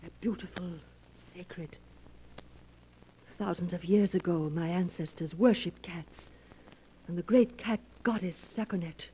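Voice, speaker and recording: An actress playing a possessive, mysterious Egyptian wife with smooth, controlling endearments in a 1940s radio melodrama. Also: female voice, fairly low pitch, a little slow, long pauses, dark tone. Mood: reverent. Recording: noisy vintage 1940s radio recording.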